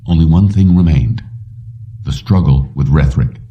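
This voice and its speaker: A man's voice: extremely low pitch, normal speed, recorded from a distance, slight background noise. A man reading a fiction audiobook as narrator, in a dull recording that sounds set back from the mic.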